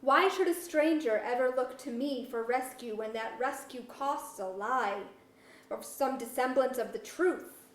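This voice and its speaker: A woman's voice: high-pitched, a little slow. A woman playing a principled, unbending monarch in an archaic verse drama, formal and declamatory. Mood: resolute musing. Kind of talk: staged reading of pseudo-Elizabethan verse drama. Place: museum auditorium, live reading. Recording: echoey auditorium.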